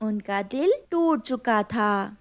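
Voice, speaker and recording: A woman's voice: mid-range voice, expressive, slow pace. A woman giving scripted storybook narration for a cartoon moral tale.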